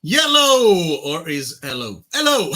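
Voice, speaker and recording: A man's voice: sits high, slow. A man from Italy with an Italian accent, hosting a casual, effusive chat from his private home studio. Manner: excitable, effusive, laughs easily. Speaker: host.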